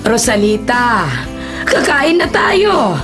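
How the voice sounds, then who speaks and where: Doting, high-pitched, animated nanny. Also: female voice, takes it slowly. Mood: cheerful, motherly. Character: doting, cheerful older nanny full of praise. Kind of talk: Filipino radio drama acting. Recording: music behind the voice.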